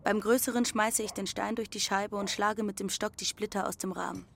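A woman's voice: medium pitch, fairly even intonation, a little fast, gravelly, fairly bright tone, a little hiss or hum. A woman playing a defiant teenage runaway girl who narrates her journey matter-of-factly in an audio drama. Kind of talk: first-person literary narration in audio drama.

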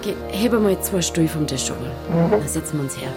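Blunt Bavarian village innkeeper. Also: female voice, sits low, expressive, fast talker, music in the background. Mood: argumentative. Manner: blunt, teasing, colloquial. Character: brisk, unsentimental village innkeeper woman, mocking of romance. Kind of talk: audio drama dialogue in dialect.

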